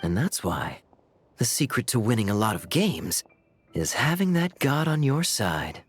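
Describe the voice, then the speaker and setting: A man's voice: medium pitch, very animated, unhurried, soft background music. A man playing a suave, sly young gambler in a video game, with a playful, wry way of talking. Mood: wry, teasing.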